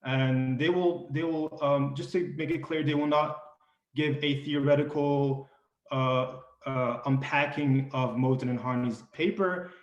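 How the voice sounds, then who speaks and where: A man's voice: mid-range voice, fairly even intonation, a little slow, lo-fi sound. A man moderating a panel discussion, introducing guests with frequent ums and restarts. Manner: hesitant, many ums and restarts. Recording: echoey room.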